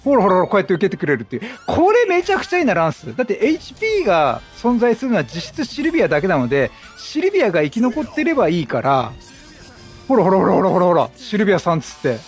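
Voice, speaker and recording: Guy doing chatty lets play, high voice, really animated, talks to the characters, soft music behind. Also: fast. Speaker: gameplay commentator. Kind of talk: chatty game commentary.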